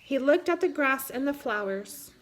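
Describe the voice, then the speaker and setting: A woman with a high-pitched voice reads a children's storybook aloud. She keeps her phrasing measured and simple, suited to young listeners. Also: animated. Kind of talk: picture-book read-aloud narration.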